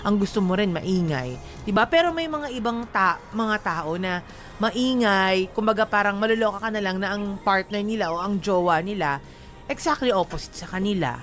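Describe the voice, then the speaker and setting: Chatty Filipina radio co-host over faint music. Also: female voice, mid-range voice, animated, normal speed, fairly bright tone. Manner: talkative, frank, slangy. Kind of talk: casual chatty radio banter.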